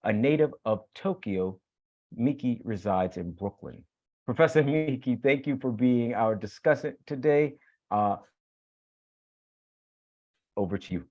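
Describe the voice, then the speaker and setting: A man's voice: mid-range voice, a little slow, long pauses, a little mellow, muffled recording. A man hosting an academic talk and reading out a guest's credentials in a formal introduction. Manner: listing credentials in a formal cadence. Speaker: host.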